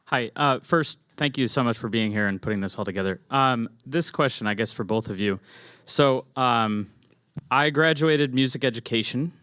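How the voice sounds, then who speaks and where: A man's voice: medium pitch, normal speed, sharp tone, no room sound, studio quality. A man in his thirties in the audience, asking a question politely and hesitantly into a handheld mic. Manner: polite, hesitant, many fillers. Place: audience in an indoor hall.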